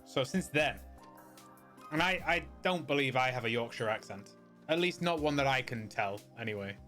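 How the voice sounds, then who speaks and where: A man's voice: medium pitch, lively intonation, moderate pace, sharp tone. A middle-aged man from the United Kingdom chatting casually as a game streamer, rambling and often searching for his words. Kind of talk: casual livestream chat. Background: music behind the voice.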